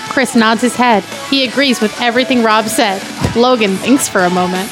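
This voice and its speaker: A woman's voice: on the high side, fairly even intonation, normal speed, music in the background, low-quality recording. A woman narrating screenplay stage directions at an informal comedy table read. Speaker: guest reader of stage directions.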